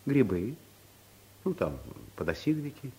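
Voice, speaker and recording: An actor playing a timid, bumbling office clerk with a hesitant, earnest manner in a vintage radio play. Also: male voice, medium pitch, big pitch swings, measured pace, warm tone. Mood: nervous, earnest. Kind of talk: Soviet radio play acting.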